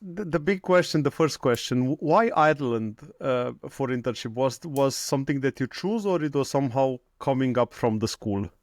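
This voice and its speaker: A man's voice: medium pitch, expressive, normal speed, few pauses, no room sound, studio quality. A man hosting an interview podcast, formal and hesitant, repeating words and using slightly non-native phrasing. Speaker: podcast host.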